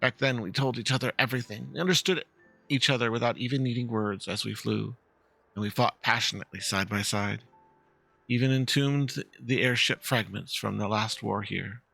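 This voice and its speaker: A man plays a war-veteran fox woman from a game, wistful and dignified. The delivery is earnest and measured, with a creaky, bright tone. The recording is dry, with light music underneath. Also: mid-range voice, no echo. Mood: wistful grief. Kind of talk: dramatic reading of game dialogue.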